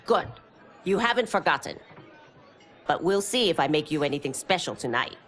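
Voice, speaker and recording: A woman's voice: deep voice, big pitch swings, moderate pace, fairly bright tone. An actress playing a motherly innkeeper and martial arts teacher, stern but fond, scolding with affection, in a video game cutscene. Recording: game soundtrack music behind.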